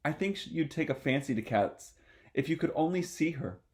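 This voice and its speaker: A man's voice: on the high side, lively intonation, a little fast, darkish tone, lightly acted. A man voicing a polite, chatty, anxious little girl from a storybook, earnestly musing aloud. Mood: soothing.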